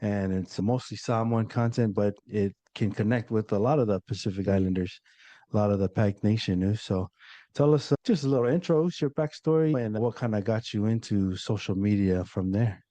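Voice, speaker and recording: Male podcast host, laid-back, low warm voice, dry close sound. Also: normal speed, no echo. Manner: relaxed, laid-back, lots of fillers. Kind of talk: casual interview chat.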